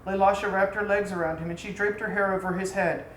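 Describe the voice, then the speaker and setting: A woman narrates a literary tale in a theatrical, deliberate way. Her voice sits at a medium pitch. The recording is echoey, like a bare room, with faint noise behind her. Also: fairly even intonation, a bit bright. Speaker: storyteller reading aloud. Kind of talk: dramatic literary story narration.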